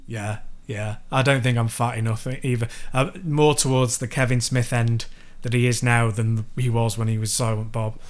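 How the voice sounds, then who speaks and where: Calm, soothing English man co-hosting. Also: medium pitch, moderate pace. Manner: calm, soothing, dry humour. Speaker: podcast co-host. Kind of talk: casual banter.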